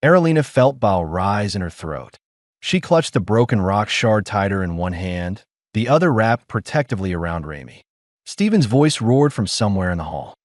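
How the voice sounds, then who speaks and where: A male narrator reads a science fiction story with dramatic storytelling. His voice is low and swings expressively. The recording is close and dry, with no room sound. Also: big pitch swings, moderate pace, no room sound. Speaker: audiobook narrator. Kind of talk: scripted narration.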